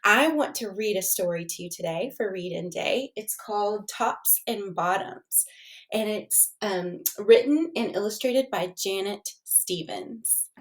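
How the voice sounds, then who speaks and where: A woman's voice: mid-range voice, a little slow, rough recording. A woman reading a storybook aloud to children as a teacher would, expressively, with asides and questions to the listeners.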